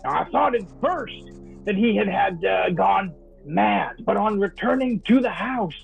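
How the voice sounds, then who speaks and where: Male actor as brooding diarist in an old-time radio chiller: high-set, gravelly voice, halting delivery. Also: expressive, faint music behind, exaggerated voice. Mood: uneasy. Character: troubled man recounting uncanny events from his journal. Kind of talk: old-time radio drama monologue, live performance.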